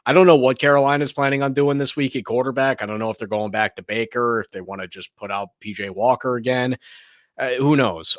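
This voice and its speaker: A man hosting a sports show, giving casual, opinionated commentary in a slightly hoarse, raspy voice, rambling and often restarting his sentences. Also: mid-range voice, a bit bright, no echo, studio quality.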